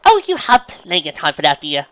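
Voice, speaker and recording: A man voicing a refined, excitable female unicorn fashion designer in an affected, gushing, dramatic way for a story. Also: fairly high pitch, fairly quick, dull audio, pitched above the performer's own voice, full character voice. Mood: gracious.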